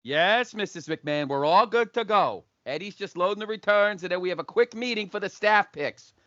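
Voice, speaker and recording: A man's voice: high-pitched, moderate pace, no echo. A man playing a wisecracking, deferential video store clerk in a comic audio play. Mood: fawning. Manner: flattering, joking, profane among friends. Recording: dull lo-fi audio.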